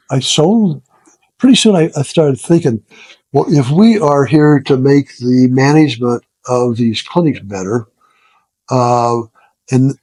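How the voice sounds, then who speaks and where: A man in his seventies talks reflectively as an interview guest, in a slightly husky voice. He is halting and self-deprecating, often pausing to find the word. The sound is dry and close. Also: mid-range voice, darkish tone, dry recording, rough recording. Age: 70s. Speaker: interview guest, retired business founder. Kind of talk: casual reflective storytelling in an interview.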